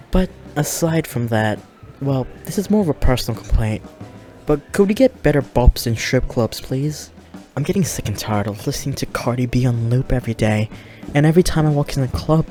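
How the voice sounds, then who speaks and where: A man's voice: medium pitch, warm tone, lightly acted. A man playing a flirty, teasing demon who reports and hosts in a fiction podcast. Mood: wry, chatty. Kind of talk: fiction podcast banter and monologue.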